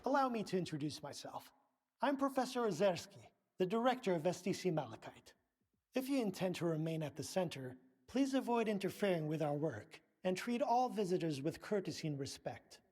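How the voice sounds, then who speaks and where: A man's voice: sits high, big pitch swings, brisk, darkish tone, husky, exaggerated voice. A man playing a pompous scientist and research director in a video game, speaking formally, precisely and with condescension. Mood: formal, stern. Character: pompous middle-aged male scientist, director of research center.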